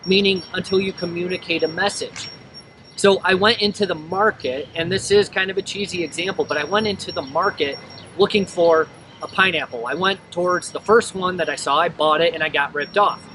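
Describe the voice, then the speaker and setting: An American man with a slightly raised voice talks bluntly and preachily, poking fun at himself as he drives home a business lesson. He sits well back from the mic, and outdoor noise with passing vehicles comes through clearly behind him. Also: fairly high pitch, far from the mic. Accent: General American. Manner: blunt, self-mocking, preachy. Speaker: entrepreneur vlogger. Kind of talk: casual motivational monologue.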